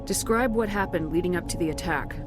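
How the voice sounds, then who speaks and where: Actress as a brisk, fast-talking female commander. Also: on the low side, music in the background, lightly acted. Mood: businesslike. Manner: direct, authoritative questioning. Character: brisk, commanding female military officer hero. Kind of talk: cinematic video game acting.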